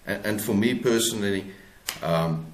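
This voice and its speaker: A man with a South African accent talking reflectively as an interview guest, haltingly, repeating words as he searches for his phrasing. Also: fairly low pitch, slight background noise. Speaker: guest author. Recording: roomy, echoing sound.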